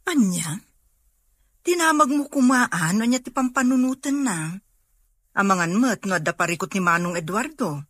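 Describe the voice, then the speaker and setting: Dry studio sound, caring younger sister, medium pitch, probing, Ilocano accent, radio drama. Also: female voice, animated, normal speed, pauses often, a bit bright, no room sound. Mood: concerned, probing. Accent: Ilocano Filipino.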